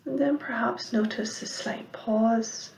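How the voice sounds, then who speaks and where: A woman giving guided meditation instruction as a mindfulness teacher, speaking in a measured, gentle way with pauses and soft, breathy phrase endings. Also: a little high, steady tone, in a whisper. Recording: roomy, echoing sound.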